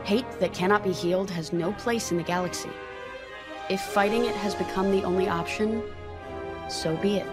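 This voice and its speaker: An actress playing an earnest, empathetic rebel pilot in a video game, reflective and philosophical. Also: female voice, medium pitch, normal speed, loud music behind the voice, lightly acted. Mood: solemn, compassionate. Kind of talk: video game character dialogue.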